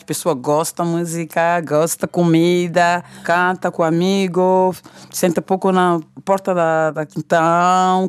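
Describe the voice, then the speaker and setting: Congolese woman, 40s, French native, low and unhurried, hesitant, dry close sound. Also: darkish tone, no room sound. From Kinshasa, Congo. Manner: hesitant, searching for words in a second language. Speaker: interviewee. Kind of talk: personal testimony.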